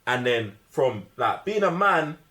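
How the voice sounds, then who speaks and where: A man's voice: medium pitch, big pitch swings, measured pace, bright, forceful tone. A man with a London British accent chatting casually and enthusiastically about films, full of slang and repeating himself for emphasis. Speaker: film review panellist. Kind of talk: casual film chat.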